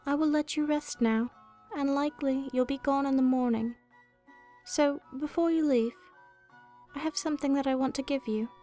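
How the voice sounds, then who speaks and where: Woman as a soft, high-voiced ancient dragon lady. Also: fairly even intonation, normal speed, faint music behind, natural voice. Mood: gentle, solemn. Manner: wistful, formal, archaic storybook phrasing. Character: ancient gentle female dragon guarding treasure in a tower. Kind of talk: soft ASMR roleplay, storytelling to a listener.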